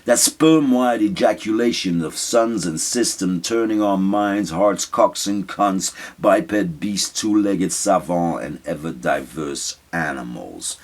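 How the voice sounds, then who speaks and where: A man reciting his spoken-word poetry as a writer, with dramatic, deliberate, emphatic phrasing. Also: low-pitched. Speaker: writer reading own spoken-word piece.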